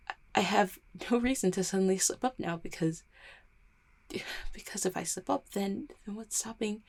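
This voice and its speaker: Woman acting a low, husky, heartbroken woman. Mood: anxious, unravelling. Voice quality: husky, creaky. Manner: halting, stammering, self-deprecating. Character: dependable small-town mail carrier woman, guarded, heartbroken after breakup. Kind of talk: roleplay audio drama, intimate one-sided conversation.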